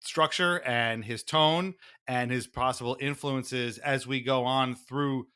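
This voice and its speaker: A man's voice: medium pitch, steady tone, slow, bright, forceful tone, clear, resonant tone. A man hosting a podcast in a theatrical, announcer-like, mock-formal way, moving between banter and dramatic reading. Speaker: podcast host.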